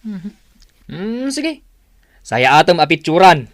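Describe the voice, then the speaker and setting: An actor playing a put-upon neighbourhood husband in a radio comedy drama. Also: male voice, sits high, very animated, slow pace, dry recording, clean studio sound, heavily acted. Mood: grumbling. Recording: music bed behind radio drama dialogue.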